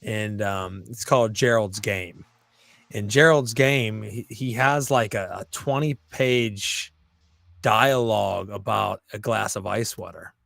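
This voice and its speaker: A man's voice: on the low side, slow pace, creaky, fairly bright tone, no room sound. A man hosting a podcast in a casual chat, telling stories, swearing freely and acting out his own reactions. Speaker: podcast host.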